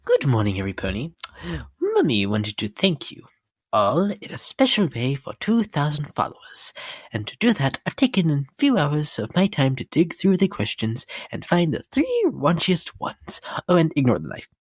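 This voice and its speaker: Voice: mid-range voice, wildly swooping pitch, moderate pace, husky, no room sound. A performer voicing a flirtatious, unhinged and sadistic pony lady in a dramatic comic reading, with affected, drawling endearments. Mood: coy, excited.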